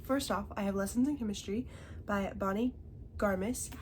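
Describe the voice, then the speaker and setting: A woman's voice: mid-range voice, normal speed, noisy background. A young woman with a congested voice chatting casually about the books she read, often checking in with "okay?". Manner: chatty, tags remarks with "okay?". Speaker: book reviewer. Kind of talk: casual chat.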